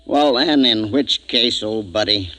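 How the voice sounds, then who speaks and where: A man's voice: on the low side, measured pace, pushed and bright. An actor playing a jovial, hard-drinking spaceship communications man in a 1950s science fiction radio drama, with a folksy, rambling manner. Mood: tipsy, chummy. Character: boozy, jovial spaceship communications man, loyal old crewman.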